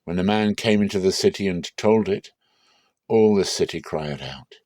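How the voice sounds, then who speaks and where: Man, fairly deep voice, dramatic Bible reading, measured, solemn, expressive, dry sound. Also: dry recording. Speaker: Bible reader.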